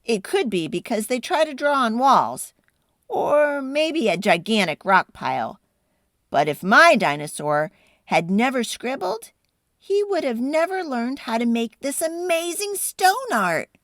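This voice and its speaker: A woman hosting a children's read-aloud, speaking with expressive, deliberate, dramatic storybook phrasing. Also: sits high, no echo, studio quality.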